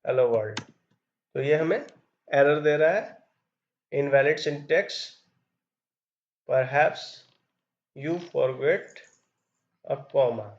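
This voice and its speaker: A man's voice: mid-range voice, drawn-out words, pauses often, lo-fi sound. A man teaching programming step by step, reading code aloud as he types. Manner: explains step by step while typing, reads code aloud. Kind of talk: screen-recorded coding tutorial.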